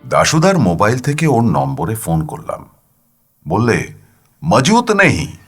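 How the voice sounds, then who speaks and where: A man narrating a first-person story dramatically, with an expressive, weary, plaintive delivery. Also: fairly low pitch, unhurried, soft background music. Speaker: audiobook narrator.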